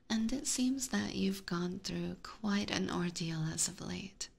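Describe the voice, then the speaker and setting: A woman's voice: fairly low pitch, creaky. A woman playing a haughty, teasing, predatory vampire in an ASMR roleplay, whispering breathily with formal, condescending diction close to a binaural microphone. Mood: amused, condescending.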